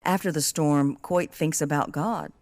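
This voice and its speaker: A woman's voice: low-pitched, a little slow, darkish tone. A woman hosting a podcast with scripted, reflective commentary in an earnest, personal, devotional manner. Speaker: podcast host.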